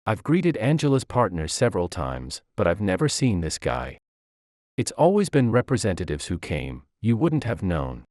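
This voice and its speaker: A man's voice: on the low side, wildly swooping pitch, normal speed, a little mellow, dry recording, clean studio sound. Man reading as an arrogant, disbelieving male banker. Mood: doubtful. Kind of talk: narrated story dialogue.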